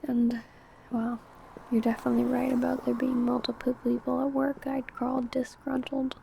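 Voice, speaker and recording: Woman playing a sly, hushed, creaky-voiced vampire coworker. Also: sits high, slight background noise, rough recording. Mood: musing. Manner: hushed, chatty, coy, drifting into whispers. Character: secretive female vampire coworker, older senior, sly and possessive. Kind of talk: ASMR roleplay addressed to the listener.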